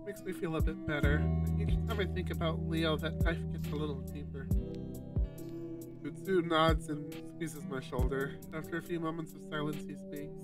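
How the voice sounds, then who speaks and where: High-voiced man reading a visual novel. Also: fairly even intonation, moderate pace, recorded from a distance, over loud music. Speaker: streamer.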